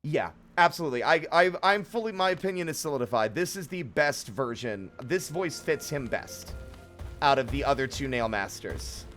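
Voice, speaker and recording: A man's voice: medium pitch, lively intonation, normal speed, fairly bright tone, soft background music. A man streaming a game live, commenting casually in a rambling, self-questioning way with some swearing.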